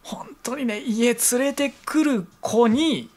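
A man's voice: very high voice, takes it slowly, darkish tone. A young man with a Kansai accent co-hosting an internet radio show, bantering casually into a studio mic. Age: young adult. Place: small indoor room studio. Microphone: studio mic on stand, headphones.

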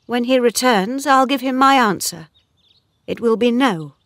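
A woman's voice: a little high, measured pace, fairly bright tone, no room sound, studio quality, pitched above the performer's own voice. A woman voicing a proud, headstrong, lovestruck young mistress of a farm, emotional and insistent, as storybook dialogue. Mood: indignant.

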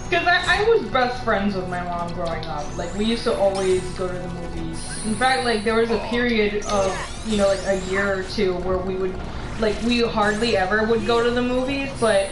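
A young adult woman co-hosting a game stream, chatting casually in an animated, rambling way. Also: medium pitch, normal speed. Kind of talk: casual chat over gameplay. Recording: roomy stream with game music behind. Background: video game music.

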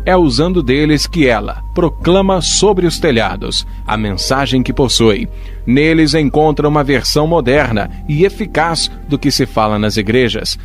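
A man's voice: mid-range voice, lively intonation, moderate pace. A man with a Brazilian accent reading a scripted documentary narration in a formal manner. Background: music.

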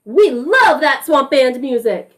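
A woman plays swamp alligators from a children's storybook, eager and bold. The voice is high and lively, with emphatic, enthusiastic delivery. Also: takes it slowly, full character voice. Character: enthusiastic, music-loving swamp alligators inviting a band.

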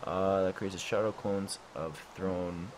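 Deep-voiced young man rambling over gameplay. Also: fairly even intonation, a little slow, a little hiss or hum. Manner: rambling, self-questioning, lots of "like" and "I don't know". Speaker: gaming YouTuber. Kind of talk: casual let's-play commentary. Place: bedroom at home, late at night. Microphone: desk mic.

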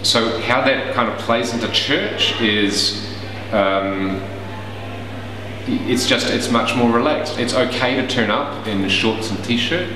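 A man's voice: medium pitch, slow pace. A man in his forties with a New Zealand accent, talking casually in an interview with many fillers. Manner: relaxed, conversational, many fillers. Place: small room indoors. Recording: roomy echo, far from mic, hiss.

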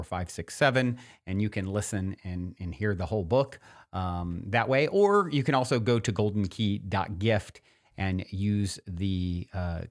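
A man hosting a podcast, talking casually in a rambling, enthusiastic and informal way. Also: lowish voice. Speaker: podcast host.

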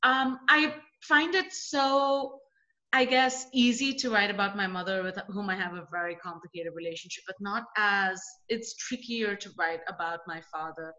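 A young woman interviewer asking searching, halting questions full of fillers, heard over a video call. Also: mid-range voice, fairly even intonation, sharp tone, reverberant. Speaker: interviewer, poet. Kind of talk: casual interview. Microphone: laptop webcam on video call. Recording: dull lo-fi video call audio.

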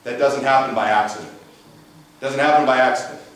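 Pastor with a big voice in an echoey church. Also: male voice, medium pitch, fairly even intonation, fairly quick, a bit bright, far from the mic, slight background noise. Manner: conversational preaching with rhetorical questions. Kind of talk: sermon. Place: church sanctuary. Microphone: far camera, room mic. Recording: echoey church sanctuary, mic from afar.

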